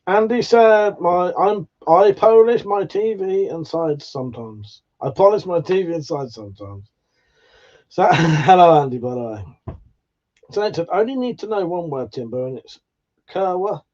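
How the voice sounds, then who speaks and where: A man's voice: sits high, lively intonation, measured pace, a little mellow, muffled recording. A man from England, hosting a casual livestream, reading out chat comments in a sweary, jokey way with frequent laughs. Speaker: livestream host.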